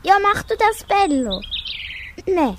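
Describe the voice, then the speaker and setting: A woman's voice: very high-pitched, big pitch swings, slow pace, slight background noise, full character voice. A young female performer voicing a sweet young farm animal in a children's amateur radio play. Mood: helpful.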